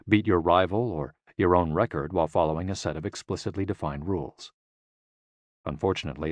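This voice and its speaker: Male audiobook narrator, low register, measured explanatory read, dry close sound. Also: dry recording.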